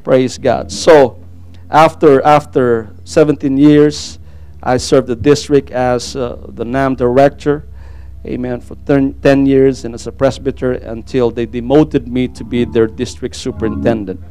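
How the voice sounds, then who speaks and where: A man's voice: mid-range voice, steady tone, unhurried, dark tone. A middle-aged Filipino man whose first language is Tagalog, preaching a sermon and sharing his testimony, with many amens and praise Gods. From Philippines. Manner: frequent amens and praise God, earnest. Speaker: pastor.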